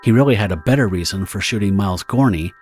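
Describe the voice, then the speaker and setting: Low-voiced man narrating a dramatic story. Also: fast, darkish tone. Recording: music under the narration.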